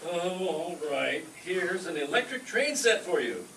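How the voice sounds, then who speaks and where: A shifty fake Santa, acted by a man in a comic radio play, gruff and impatient, with a bright, forceful voice. It is recorded from a distance in an echoey hall. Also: sits high, very animated, measured pace, exaggerated voice. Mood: evasive. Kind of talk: comic radio skit acting. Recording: echoey, distant stage recording, faint noise.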